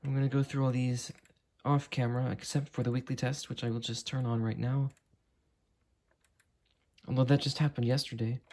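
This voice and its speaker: A young man in his late teens giving a casual, unscripted product demo in a halting, understated way. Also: mid-range voice, flat delivery, darkish tone. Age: late teens, young adult. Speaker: hobbyist reviewer. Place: indoor room at a desk.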